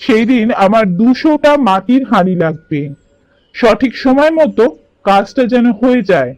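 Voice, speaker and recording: A man's voice: extremely high pitch, very animated, normal speed, darkish tone, no room sound, poor audio. A man voicing a stern, commanding village landlord in a cartoon. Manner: commanding, gives orders.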